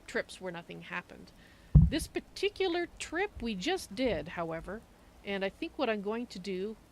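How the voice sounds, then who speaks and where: Woman streaming, with background noise. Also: medium pitch. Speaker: streamer playing and narrating a roleplay game. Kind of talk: livestream roleplay commentary, casual asides.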